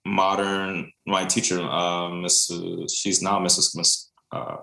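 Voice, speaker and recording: Deep-voiced Brooklyn man in an echoey room. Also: little pitch movement, slow pace, vocal fry, pushed and bright. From Brooklyn, New York. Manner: chatty, many fillers, laughs often. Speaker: guest, contemporary dancer. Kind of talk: casual interview storytelling.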